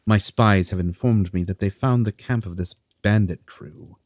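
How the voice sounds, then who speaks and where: Man playing a deep-voiced, haughty king. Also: moderate pace, no echo, natural voice. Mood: brisk, dismissive. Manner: imperious, contemptuous. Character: haughty, dismissive king giving orders to subjects. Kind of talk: fantasy audio drama acting.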